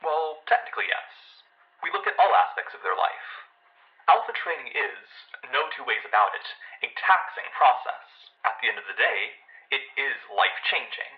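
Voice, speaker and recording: Muffled, animated, guarded corporate scientist played by a man. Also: mid-range voice, close to an everyday voice. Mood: measured, defensive. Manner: measured, defensive, official-sounding. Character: guarded, ambitious male senior lab technician. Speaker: interviewee. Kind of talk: audio drama interview acting.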